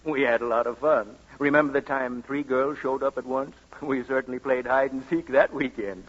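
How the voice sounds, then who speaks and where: An actor playing a loyal, droll household servant, folksy and hesitant, in 1940s radio comedy style. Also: male voice, mid-range voice, steady tone, moderate pace, breathy edge, lightly acted. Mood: chuckling, reminiscing. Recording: muffled vintage radio broadcast.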